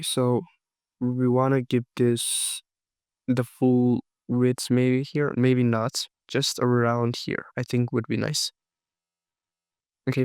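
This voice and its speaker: A man with a cold walking through a design tutorial, unhurried and thinking aloud, his voice sometimes cracking. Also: medium pitch, a little mellow, clean tone, dry recording, clean studio sound. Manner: unhurried, thinking aloud with frequent fillers and restarts. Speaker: design course instructor. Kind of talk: screen-recorded software tutorial.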